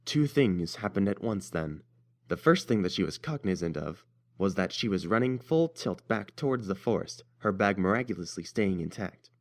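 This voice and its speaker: Low-pitched male story narrator. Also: lively intonation, moderate pace.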